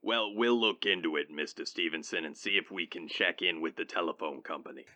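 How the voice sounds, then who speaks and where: Man playing a deep, dismissive police officer. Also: fairly quick, sharp tone. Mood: placating. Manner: placating, patronizing. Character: unhurried, dismissive male police desk officer. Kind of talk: old-time radio suspense acting.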